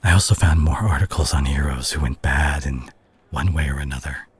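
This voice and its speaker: A man acting a husky, low-voiced worried superhero. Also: a little fast, heavily acted. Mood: somber, pained. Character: concerned male superhero confronting his reckless friend and teammate. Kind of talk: dramatic audio roleplay monologue.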